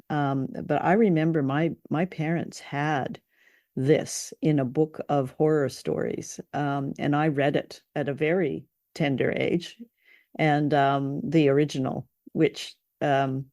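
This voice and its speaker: A woman's voice: low voice, vocal fry, a little mellow, no echo, studio quality. A woman co-hosting a show, chatting casually and appreciatively about stories, with some hesitant phrasing. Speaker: co-host of an old-time radio drama show.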